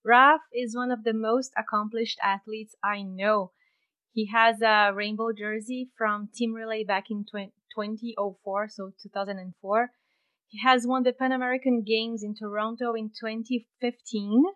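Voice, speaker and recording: A woman hosting a podcast interview in her home office, cheerful and quick to laugh. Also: fairly high pitch, steady tone, fairly bright tone, clear, resonant tone, highs cut off. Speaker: podcast host.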